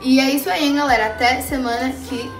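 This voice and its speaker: A young woman vlogger chatting casually and motivationally, animated and slangy, speaking straight to her viewers. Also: sits high, fairly quick. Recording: roomy echo, faint background music.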